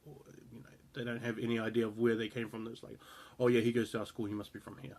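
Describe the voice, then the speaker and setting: A man's voice: mid-range voice, little pitch movement, fast, creaky, darkish tone. A man in his thirties with a New Zealand accent, giving his opinion in casual talk to the camera, rambling with frequent fillers and restarts. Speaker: rugby show host.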